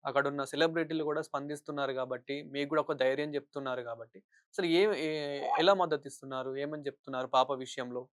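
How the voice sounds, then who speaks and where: A man's voice: mid-range voice, quick pace, no echo, studio quality. A man working as a news interviewer, putting long, wordy questions in a phone interview.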